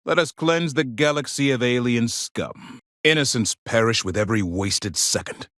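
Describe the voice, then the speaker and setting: An actor playing a solemn, zealous military democracy officer in a video game, speaking in stern, formal, grandiose oratory. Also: male voice, medium pitch, normal speed, fairly bright tone, no room sound, studio quality, lightly acted. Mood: rousing. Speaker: military officer and mission briefer. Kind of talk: scripted video game voice acting.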